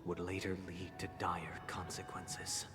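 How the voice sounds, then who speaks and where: Deep-voiced, solemn melancholy family head. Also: male voice, completely flat delivery. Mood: grave, sorrowful. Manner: measured, solemn, formal, with rhetorical pauses. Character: grave, polite, melancholy male family head recounting tragedies. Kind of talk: theatrical video game monologue. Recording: long reverb, loud music behind. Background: loud game music.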